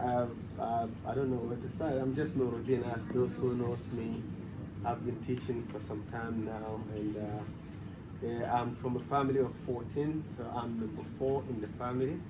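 A man in his forties from Ghana, guest speaker at an evening talk, speaking softly and haltingly with many pauses and fillers. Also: mid-range voice, little pitch movement, background noise. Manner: soft-spoken, hesitant with many fillers. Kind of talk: casual lecture talk.

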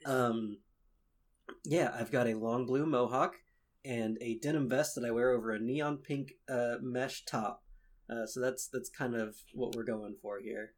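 A man at an everyday middle pitch talks as a player in a tabletop game podcast. He hesitates often, filling pauses with uh and um. His delivery stays plain and conversational. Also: fairly even intonation. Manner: hesitant, full of uh and um. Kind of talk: casual chat describing his character.

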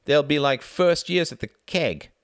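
A man's voice: fairly high pitch, lively intonation, measured pace, no echo, clean studio sound, voice pushed up. A man voicing a reserved, sceptical, slightly irritable Oxford graduate student in a storybook, terse and guarded in manner. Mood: dry, doubtful.

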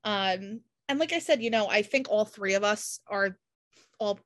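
A woman's voice: medium pitch, measured pace. A woman of about thirty hosting a casual podcast chat, emphatic and peppering her talk with "like". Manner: emphatic, frequent "like" filler. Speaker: podcast host.

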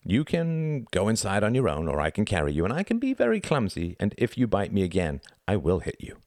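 Man playing a stern, deep-voiced disciplinarian. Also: wildly swooping pitch, a little mellow, dry recording, clean studio sound. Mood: threatening, gruff. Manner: firm, measured, authoritative. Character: stern, grimly controlled adult man disciplining children.

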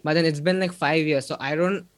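A man's voice: fairly high pitch, fairly even intonation, brisk, no echo. A young man from India with an Indian English accent, chatting casually as an interview guest, rambling with many fillers. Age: young adult. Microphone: video call.

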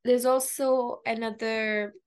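A woman's voice: on the high side, little pitch movement, takes it slowly, smooth. A young woman from Pakistan, a native Urdu speaker, co-hosting a radio show in a chatty, informal way. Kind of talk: casual radio chat.

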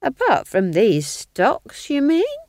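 A cheeky, earthy old witch from a fantasy audiobook, as a female reader plays her. She is blunt and unflappable, with colloquial phrasing and lively intonation over an unhurried pace. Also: high voice, no echo, clean studio sound, voice pushed up, heavily acted. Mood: cheeky, unimpressed.

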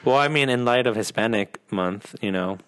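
Deep-voiced American man in casual conversation. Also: moderate pace, a bit bright. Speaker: podcast co-host. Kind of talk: casual chat.

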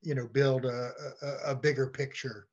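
A man speaking as a podcast guest in a halting, conversational way, often repeating words as he goes. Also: medium pitch, fairly even intonation, darkish tone, muffled recording. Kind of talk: conversational discussion.

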